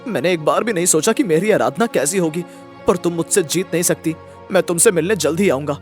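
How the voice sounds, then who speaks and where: A man's voice: on the high side, a little fast, soft background music, close to an everyday voice. A man voicing a self-absorbed young husband in a dramatic, emphatic style for an audio story. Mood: grieving, remorseful. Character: selfish young husband, evasive, later remorseful and melodramatic. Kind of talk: audio story melodrama acting.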